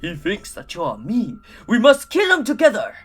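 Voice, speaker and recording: A woman's voice: medium pitch, huge pitch swings, moderate pace, breathy edge. A woman voicing an odd, scheming cartoon man, a villain's twin brother, in an exaggerated, emphatic mock accent.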